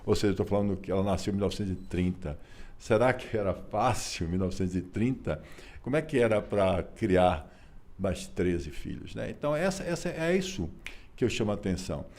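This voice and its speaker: Guy in his 60s, low mellow voice, folksy storyteller, keeps tacking on little tags. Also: lively intonation, a little fast. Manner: folksy, repeats phrases, frequent rhetorical tags. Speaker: interview guest, author and businessman. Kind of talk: relaxed interview storytelling.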